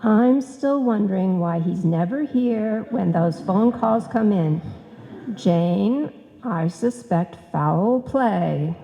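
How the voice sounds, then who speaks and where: Skeptical radio-comedy secretary, played by a woman: pointed, unhurried, mellow, heard in a reverberant hall. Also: medium pitch, expressive, vocal fry, lo-fi sound, slight background noise. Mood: suspicious. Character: suspicious, pointed secretary friend of the wife. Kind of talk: old-time radio comedy acting.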